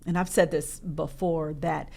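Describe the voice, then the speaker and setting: Middle-aged woman guest; low, fry-edged voice, reflective and unhurried. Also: steady tone, dark tone. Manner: reflective, searching for words. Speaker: podcast guest. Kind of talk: casual interview chat. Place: podcast studio.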